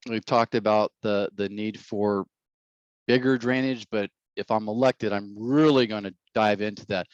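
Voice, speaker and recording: Blunt, rambling man with a low voice. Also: no room sound, lo-fi sound. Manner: rambling, repeats words, blunt. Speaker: mayoral candidate. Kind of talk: casual interview answers.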